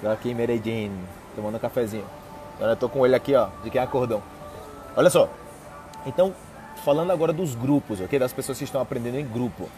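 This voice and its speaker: A man's voice: medium pitch, fast, mellow, soft background music. A young Brazilian man with a Brazilian Portuguese accent, a Portuguese teacher chatting casually to viewers, checking in often with "ok?". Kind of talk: casual live-stream chat. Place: outdoors on a city street with trees. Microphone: earphone mic on a phone held close.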